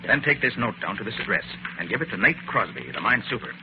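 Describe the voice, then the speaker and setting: An actor playing a confident, unflappable master detective in an old-time radio drama, brisk, clipped and self-assured. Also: male voice, lowish voice, big pitch swings. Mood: commanding. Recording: noisy, muffled old radio recording.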